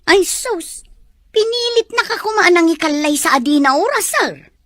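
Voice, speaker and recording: High-pitched, cheeky radio drama housemaid. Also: female voice, no room sound, studio quality. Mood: teasing. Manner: exclamatory, animated, drawn-out delivery. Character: cheeky, giggly housemaid teasing her boss.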